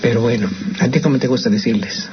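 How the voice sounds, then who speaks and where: A man's voice: mid-range voice, fast, slight background noise. An older man with a Mexican accent, chatting opinionatedly as a radio host about language and restarting his phrases often. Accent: Mexican Spanish. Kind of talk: casual radio commentary. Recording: slightly muffled radio broadcast.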